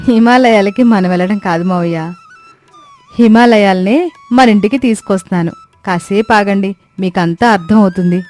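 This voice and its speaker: A woman's voice: mid-range voice, moderate pace, a little mellow, soft background music, close to an everyday voice. A woman voicing a clever, composed young daughter-in-law in a cartoon story, explaining things in a reassuring way. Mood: confident, reassuring. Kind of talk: Telugu cartoon story acting.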